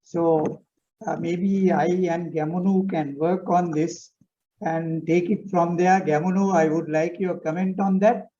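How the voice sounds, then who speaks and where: A man giving a formal vote of thanks on a video call, slow and halting with many fillers. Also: a little high, steady tone, mellow. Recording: dull lo-fi video call audio.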